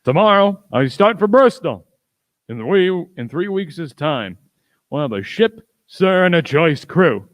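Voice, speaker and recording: A man playing a pompous, eager country squire in a radio play, with halting, emphatic delivery. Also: fairly high pitch, very animated, no room sound. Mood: excited, boastful. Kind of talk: youth radio play acting.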